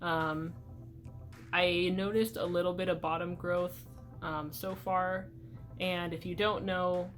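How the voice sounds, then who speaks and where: A young man talking casually in a video diary update, chatty and relaxed, with many "like" and "um" fillers. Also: high voice, takes it slowly, soft background music. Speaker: vlogger.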